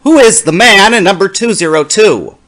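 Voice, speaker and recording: Guy doing a fussy brooding bachelor professor telling a horror tale, voice a bit high, real swoopy and theatrical. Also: expressive, normal speed, bright, forceful tone. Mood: irritated, insistent. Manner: formal, theatrical, precise. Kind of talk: dramatic reading of a 1930s horror story.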